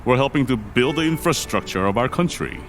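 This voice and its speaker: An actor playing a grave, measured older business magnate father in an audio drama, speaking with reserved weight. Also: mid-range voice, animated, over music, natural voice.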